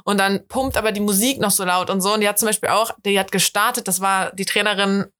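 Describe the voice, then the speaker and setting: A woman's voice: mid-range voice, quick pace. A woman from the Rhineland with a Rhineland German accent, chatting casually as a podcast co-host and acting out her own quotes as she tells stories. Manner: animated storyteller, acts out her own quotes. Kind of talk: casual chat.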